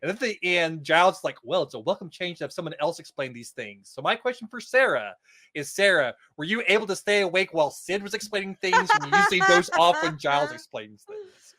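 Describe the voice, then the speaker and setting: A man's voice: high-pitched, sing-song, a little fast, sharp tone. A man as a podcast guest, giving his opinions in casual, wry, conversational talk.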